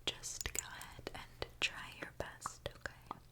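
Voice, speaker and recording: A woman whispering a gentle ASMR roleplay as a reassuring repair mechanic, talking softly and directly to the listener. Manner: soft, gentle, reassuring, unhurried. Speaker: ASMR performer playing a robot repair mechanic.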